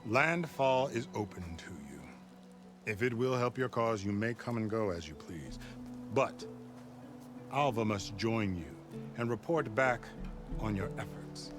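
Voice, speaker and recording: An actor playing a cold, imperious military commander in a video game, measured and contemptuous, over game music. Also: male voice, lowish voice, natural voice. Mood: coolly commanding. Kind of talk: video game cutscene acting.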